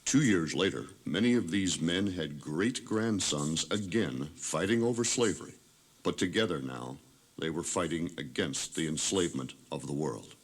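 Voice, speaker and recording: A man's voice: sits low, normal speed, slightly rough, poor audio. A man giving scripted audio tour narration as a guide.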